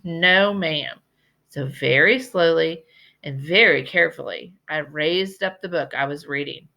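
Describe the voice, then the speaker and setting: Woman voicing a bright, dramatic old lady librarian. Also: sits low, gravelly, full character voice. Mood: playful, storytelling. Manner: dramatic, deliberate storyteller with emphatic repetitions. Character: sad, sighing, genteel old Southern lady librarian telling tales. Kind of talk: storybook character voice in a read-aloud.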